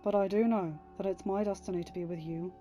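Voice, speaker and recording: A woman reads the part of a heroic warrior husband in an audiobook. The tone is mellow and calm, with tender reassurance and earnest resolve. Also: medium pitch, fast talker, faint music behind, lightly acted. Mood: reassuring. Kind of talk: dramatised audiobook reading.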